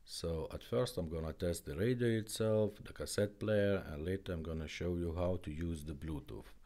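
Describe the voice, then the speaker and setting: A man casually presenting a product demonstration, with halting, simple non-native English phrasing. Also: low voice, warm tone. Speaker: presenter demonstrating retro car radios.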